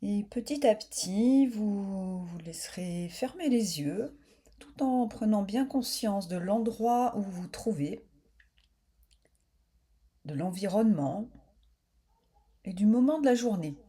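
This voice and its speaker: Mellow-voiced woman guiding deep relaxation. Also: breathy edge. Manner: calm, soothing, unhurried. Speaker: yoga nidra teacher. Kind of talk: guided relaxation, slow spoken instruction.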